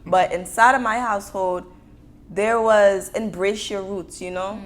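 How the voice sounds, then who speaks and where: A woman from the United States hosting a casual panel chat, lively and animated. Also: medium pitch, unhurried. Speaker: show host.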